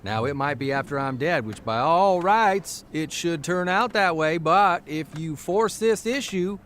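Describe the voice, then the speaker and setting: An actor playing a fussy, grumbling newspaper columnist of the 1930s who rambles in exasperation, in period audio drama style. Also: male voice, a little high, animated, measured pace, a bit bright. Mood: firm threat.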